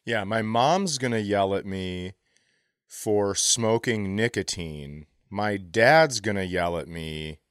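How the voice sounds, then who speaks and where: A man's voice: on the low side, takes it slowly, fairly bright tone, smooth, no room sound, clean studio sound. A man riffing in casual comedic banter, teasing and crude, reasoning out absurd points as a podcast co-host.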